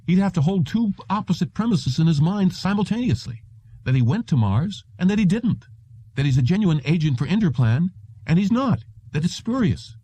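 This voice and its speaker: A man's voice: high voice, sing-song, moderate pace, dark tone, husky, dry recording, pitched above the performer's own voice, heavily acted. A man voicing a cautious, anxious technician in an audiobook. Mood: worried. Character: worried, cautious technician in a raised voice.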